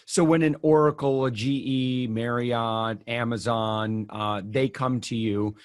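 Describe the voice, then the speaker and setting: A man's voice: mid-range voice, steady tone, a little slow. Chatty male podcast host. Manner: chatty, laughs easily. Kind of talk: casual interview.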